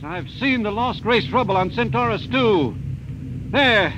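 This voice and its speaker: A man playing an eager, excitable archaeologist in an old-time radio drama, polite but insistent. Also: sits high, measured pace. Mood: excited. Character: eager, excitable male archaeologist, no space hand. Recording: noisy, muffled old radio recording.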